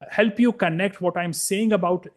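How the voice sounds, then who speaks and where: A man's voice: high-pitched, moderate pace. A middle-aged man from India, a guest in a conversational interview, talking bluntly and emphatically with frequent restarts.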